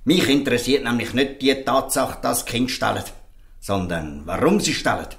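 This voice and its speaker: A man's voice: mid-range voice, normal speed, creaky, a bit bright. An actor playing an earnest, defiant fisherman in a Swiss German audio drama, speaking emphatically and pleadingly. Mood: indignant, pleading. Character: earnest, defiant fisherman defending outcast children. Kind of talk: audio drama dialogue in dialect.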